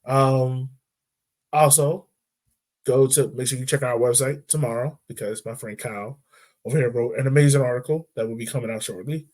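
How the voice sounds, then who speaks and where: A man's voice: mid-range voice, normal speed. Laid-back man hosting a soccer podcast. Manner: relaxed, loose, lots of fillers like "um" and "like". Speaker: podcast host. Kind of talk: casual soccer podcast chat.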